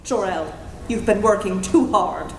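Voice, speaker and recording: A woman's voice: medium pitch, a little slow, natural voice. A woman playing a skeptical, authoritative council member in an old radio-drama style. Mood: dismissive. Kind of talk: 1940s-style radio acting. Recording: echoey room, faint noise.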